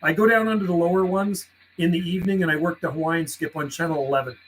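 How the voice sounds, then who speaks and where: Brief: chatty radio hobbyist man from western Canada, talks call signs and jargon, pitched a bit high, faint hiss behind. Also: fairly even intonation, quick pace, poor audio. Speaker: CB radio operator streaming live. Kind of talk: casual on-air radio chat.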